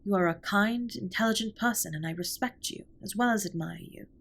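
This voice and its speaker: A woman playing an alien spaceship captain in a comfort audio roleplay, speaking softly in formal, precise sentences with gentle, earnest concern. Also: mid-range voice, gravelly. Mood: concerned, hesitant. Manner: formal, stiffly precise, measured wording.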